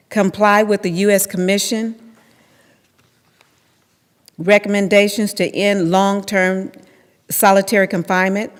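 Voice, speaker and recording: A woman's voice: mid-range voice, close to an everyday voice. A woman playing an activist who plainly lists demands, reading from a script in readers theater. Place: large temple hall, at a lectern. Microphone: microphone on a stand at lectern. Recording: reverberant hall recording.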